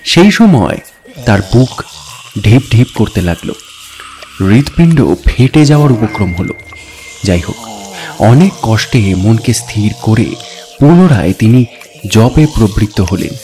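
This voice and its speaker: A man gives a dramatic, suspenseful narration of a scary story in deliberate, theatrical phrases. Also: medium pitch, sing-song, mellow. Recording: voice over background music. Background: music bed.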